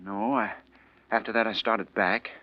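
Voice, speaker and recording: A man's voice: lowish voice, fairly even intonation, unhurried, no room sound. A man playing a desperate, anxious professor in a 1940s radio drama, stammering and pleading. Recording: muffled, dry old radio recording.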